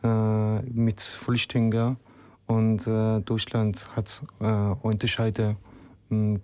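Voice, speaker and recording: A man from Afghanistan, a radio guest speaking in halting, hesitant sentences. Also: on the low side, completely flat delivery, a little mellow, clean tone, dry recording. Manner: halting non-native speech full of hesitations. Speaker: interview guest.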